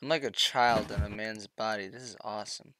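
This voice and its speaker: A man's voice: fairly low pitch, fairly even intonation, a little fast, bright, forceful tone. An eighteen-year-old man chatting on a headset while gaming, rambling and joking crudely. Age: teens. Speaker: gamer on voice chat. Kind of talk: casual chat while gaming. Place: small room indoors.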